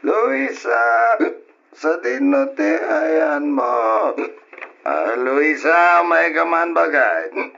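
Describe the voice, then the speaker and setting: An actor playing a drunken, carefree husband in an old radio drama, with slurred, drawling, husky talk. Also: male voice, high voice, animated, extremely slow, pushed and bright, lo-fi sound, a little hiss or hum, full character voice. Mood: drunk, calling out. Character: drunken, carefree husband and father who brushes off warnings.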